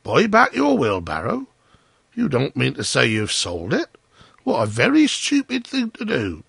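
A man's voice: medium pitch, huge pitch swings, unhurried, vocal fry, fairly bright tone, breathy edge, dry recording, clean studio sound, pitched above the performer's own voice, full character voice. A man voicing a sanctimonious, condescending miller in a storybook, moralizing pompously. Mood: self-congratulating. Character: smug, sanctimonious, hypocritical male miller, condescending friend. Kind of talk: storybook character voice.

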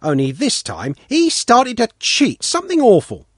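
Stubborn cocky village boy, read by a man, dogged and raised, lively delivery; storybook. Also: extremely high pitch, normal speed, breathy edge, no room sound, clean studio sound, voice pushed up, full character voice. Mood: dogged telling.

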